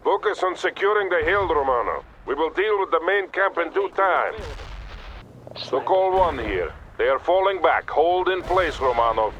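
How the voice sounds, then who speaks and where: An actor playing a stern, composed Soviet army colonel with a Russian accent, giving measured orders in a military video game. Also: male voice, a little high, expressive, a bit bright, highs cut off. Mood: authoritative. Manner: authoritative, measured, commanding. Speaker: military commander character. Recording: battle gunfire noise behind voice. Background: gunfire, artillery and vehicles.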